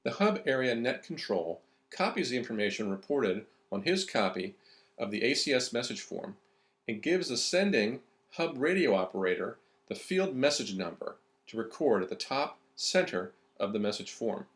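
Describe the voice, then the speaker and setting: A man's voice: medium pitch, big pitch swings, normal speed. A man reading scripted narration for an instructional video.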